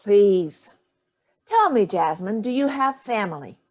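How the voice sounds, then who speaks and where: An imperious grandmother , played by a woman in a staged play reading. She speaks in a mid-pitched voice with a dry, pointed edge, lofty and cutting. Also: expressive, slow pace.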